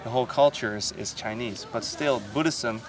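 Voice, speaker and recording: A man from the Netherlands, a native Dutch speaker, talking reflectively as a temple guide in a halting, repetitive way. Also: mid-range voice, over music, rough recording. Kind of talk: reflective interview talk.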